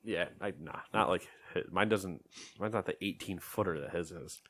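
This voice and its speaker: A man's voice: deep voice, moderate pace, fairly bright tone, no echo. A man co-hosting a gaming podcast in casual chat, rambling and joking, often trailing off into mumbles. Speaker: podcast co-host.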